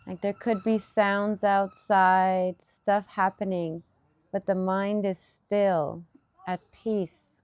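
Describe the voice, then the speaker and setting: A woman leading a guided meditation as a teacher, giving gentle instruction in short, unhurried phrases with pauses between them. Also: mid-range voice, flat delivery, clean tone, no echo.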